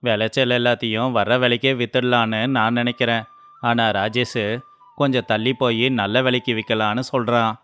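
A man acting an earnest, indecisive village farmer husband in a moral story cartoon, speaking plainly and deliberately. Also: medium pitch, little pitch movement, a bit bright, smooth, close to an everyday voice. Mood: worried, pondering. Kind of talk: acted dialogue in a Tamil moral story cartoon.

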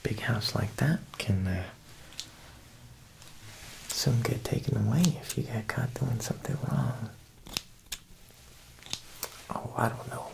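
A man's voice: mid-range voice, vocal fry, low-quality recording. Man playing a whispering Italian-American mob boss. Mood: quietly menacing. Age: young adult. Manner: slow, hushed, insinuating, with pauses. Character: Italian mob boss tailor, smooth, quietly menacing family man. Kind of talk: whispered ASMR roleplay.

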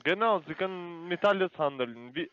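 A man with a foreign accent, speaking German as a call center agent on the phone, halting with many fillers and broken grammar. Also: sits high, expressive, clear, resonant tone, dry recording, phone-quality sound.

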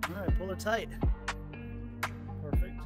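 A man in his forties vlogging in an excitable, animated, jokey way, filming himself in a car and on a boat. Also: high-pitched, a little slow, soft background music. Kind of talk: travel vlog narration. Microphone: camera held at arm's length.

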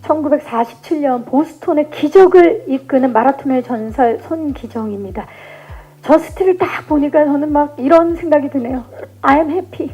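High soft-toned lady mc at a press conference, playful banter, bit of a dull mic. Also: normal speed. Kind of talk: lively event hosting. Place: cinema press event, on microphone.

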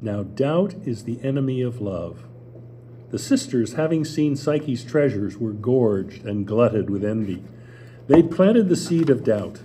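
An older man narrating a play in a measured storytelling manner, reading at a table on a small theater stage. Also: mid-range voice, animated, dark tone, slight background noise. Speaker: stage reader. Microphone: table mic.

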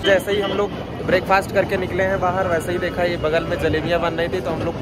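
A man's voice: high-pitched, little pitch movement, quick pace, over a noisy crowd, very noisy. A young man from Lucknow, casually narrating a walking tour of a busy street at night, talking to viewers like a guide. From Lucknow, India. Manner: chatty, addresses viewers as guys, guide-like pointing out sights. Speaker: travel vlogger. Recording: loud street music over voice. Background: loud music from the street.

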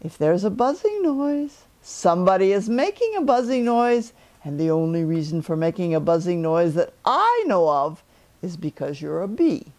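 A woman's voice: lowish voice, very animated, normal speed, darkish tone. A woman voicing an earnest little male bear from a storybook, pondering aloud and exclaiming in a singsong way. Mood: musing.

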